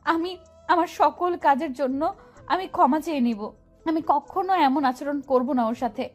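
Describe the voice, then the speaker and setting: Woman acting distraught young wife, high pitch, pleading, apologetic, audio story drama, soft music behind. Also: a little slow. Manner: pleading, insistent.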